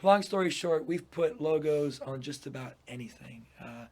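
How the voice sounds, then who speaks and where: A man's voice: a little high, lively intonation, moderate pace. A man in his thirties from Puerto Rico, a business owner chatting easily as an interview guest, laughing often and using plenty of fillers. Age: 30s. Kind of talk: casual interview chat.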